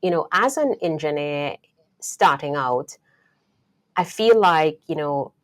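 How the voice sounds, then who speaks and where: A woman in her thirties from Trinidad and Tobago with a Trinidadian accent, a podcast guest telling her career story in a thoughtful, unhurried way with many fillers. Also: low-pitched, expressive. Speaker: guest engineer. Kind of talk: podcast interview.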